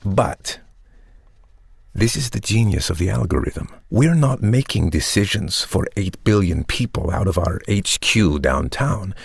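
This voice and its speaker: An actor playing a charismatic, ambitious vampire tech entrepreneur, delivering a measured, pompous and persuasive monologue like a polished pitch. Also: male voice, animated, creaky, slightly rough, no room sound, clean studio sound, full character voice. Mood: visionary, persuasive.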